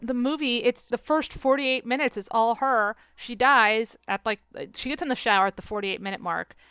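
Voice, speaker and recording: A woman podcast host chats casually in a clear, smooth voice, recorded close and dry. Her delivery is animated and sarcastic, with swearing thrown in freely. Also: fairly high pitch, normal speed, dry recording. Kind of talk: casual podcast chat about a film and book.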